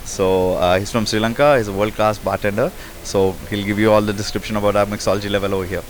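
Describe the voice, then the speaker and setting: A man's voice: fairly low pitch, fairly even intonation, fast talker, a little hiss or hum. A man who owns a bar, talking emphatically and boastfully in an interview. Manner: brash, emphatic promoter. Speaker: bar owner. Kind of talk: casual interview.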